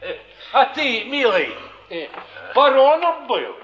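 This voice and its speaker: Sly old pilgrim, high and reverberant. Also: male voice, huge pitch swings, slow, fairly bright tone, full character voice. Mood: teasing, curious. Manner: folksy, chuckling, philosophizing in sayings. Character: kindly, sly old male wandering pilgrim. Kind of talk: theatrical stage acting. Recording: dull 1950s-70s stage recording, long hall reverb.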